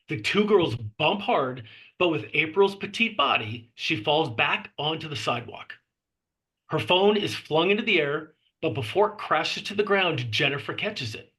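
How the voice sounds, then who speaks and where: A man narrates a screenplay at a table read, reading out the stage directions. His voice is fairly high and has a bright, forceful edge. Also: low-quality recording.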